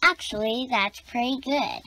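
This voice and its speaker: A woman's voice: fairly high pitch, measured pace, sharp tone. A woman reading a children's comic book aloud, expressively acting out the dialogue. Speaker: storybook reader.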